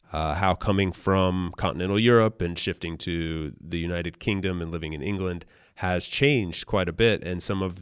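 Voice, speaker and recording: A man's voice: low-pitched, clear, resonant tone, dry recording, studio quality. A man hosting a podcast, talking in a conversational interview style. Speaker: podcast host.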